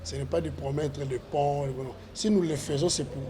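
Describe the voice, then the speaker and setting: A man's voice: on the high side, fairly even intonation, normal speed, a crowd in the background. A man, a parliamentary candidate answering interview questions with earnest, repetitive appeals to voters. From Congo.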